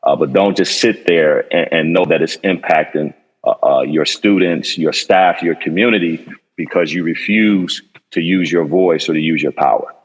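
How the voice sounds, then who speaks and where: A man on a panel, speaking in a measured, thoughtful way with frequent pauses. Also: sits low, slightly rough, big-room sound. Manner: measured, thoughtful, many fillers. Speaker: educator panelist. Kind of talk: panel discussion.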